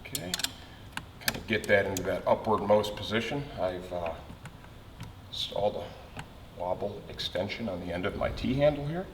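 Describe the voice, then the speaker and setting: A man's voice: on the low side, animated, normal speed, long pauses, background noise. A man giving a casual hands-on tutorial, explaining each step as he works and checking in as he goes. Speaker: instructor, motorcycle mechanic demonstrating an installation. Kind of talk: hands-on how-to tutorial, talking while working. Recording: reverberant workshop with loud tool clatter.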